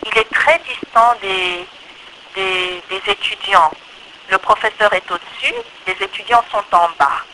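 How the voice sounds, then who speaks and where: Bright-voiced Congolese woman, noisy recording. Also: medium pitch, sing-song, measured pace. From Democratic Republic of the Congo. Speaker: interview guest, electrical engineer. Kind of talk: conversational interview answers.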